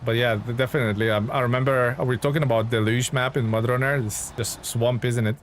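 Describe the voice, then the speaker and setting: A man talks casually to viewers while playing a game. His voice sits at an average pitch, and he is friendly and informal, often thinking aloud. Truck and engine sounds from the game are clearly heard behind him. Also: steady tone, fast talker, slight background noise. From Lithuania. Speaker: video game livestreamer. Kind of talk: casual gaming stream chat and commentary.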